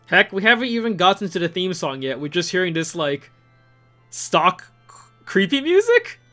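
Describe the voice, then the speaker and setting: Man, high-pitched voice, swooping animated intonation, conversational reviewer, opinionated, music behind. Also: moderate pace. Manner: opinionated, conversational, with rhetorical questions. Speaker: video host and reviewer. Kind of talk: casual video commentary and critique. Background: upbeat music from the videos being reviewed.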